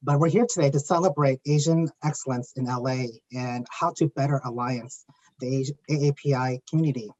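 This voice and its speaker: A man leads a panel discussion in a mid-pitched voice, steady and unhurried. His words come with frequent fillers. The recording sounds dull and lo-fi. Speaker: panel moderator.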